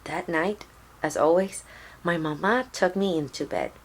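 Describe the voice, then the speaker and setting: A woman narrates a children's story at an unhurried, slow pace. Her voice sits fairly low, and she tells it expressively, like a deliberate read-aloud. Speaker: storybook reader.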